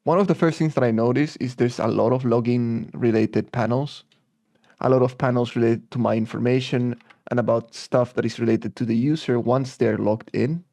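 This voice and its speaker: Young man from Peru, gravelly tone, casual portfolio voice-over, dry close sound. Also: mid-range voice, fairly even intonation, normal speed, dark tone, dry recording. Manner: casual, thinking aloud with fillers. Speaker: design student. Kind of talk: narrated design portfolio walkthrough.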